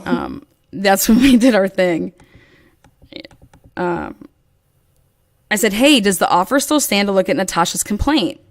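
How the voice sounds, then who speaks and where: A woman guest in an interview telling a long story in a casual, animated way and acting out quoted dialogue. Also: medium pitch, unhurried, pauses often. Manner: rambling with frequent restarts.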